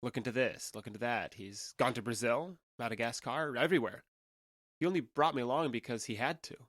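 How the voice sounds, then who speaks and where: A man's voice: medium pitch, brisk, fairly bright tone, no echo, studio quality, lightly acted. A man playing a young alien trader who passes as human in an audio drama, talking in a conversational, earnest and slightly hesitant way. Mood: matter-of-fact. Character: awkward, candid young male alien trader passing as human.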